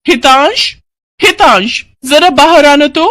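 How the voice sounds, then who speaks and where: A woman's voice: high-pitched, slow, fairly bright tone, voice pushed up. A woman voicing an arrogant young modern bride in a cartoon story, cheeky, defiant and bossy. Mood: insolent, bossy. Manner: haughty, cheeky.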